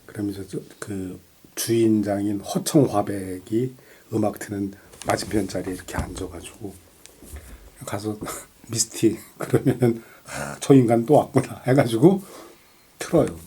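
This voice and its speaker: A man's voice: fairly low pitch, measured pace, warm tone, a little hiss or hum. A middle-aged man in casual conversation as a co-host of a music talk show, speaking effusively and repeating himself for emphasis. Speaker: podcast co-host.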